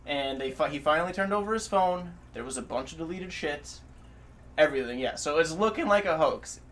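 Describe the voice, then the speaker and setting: A man's voice: fairly high pitch, expressive, fairly quick, a bit bright. A man in his twenties telling stories in a casual, laid-back, profane way as a podcast co-host. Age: 20s. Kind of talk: casual storytelling chat. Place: furnished home room, indoors. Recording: faint room noise.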